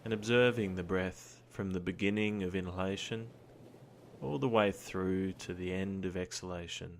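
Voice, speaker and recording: A man guiding a meditation in a calm, soothing, gentle manner, with long pauses between his instructions. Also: low voice, a little hiss or hum. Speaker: meditation guide. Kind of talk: guided body scan meditation. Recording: surf sounds behind the voice. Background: ocean surf ambience.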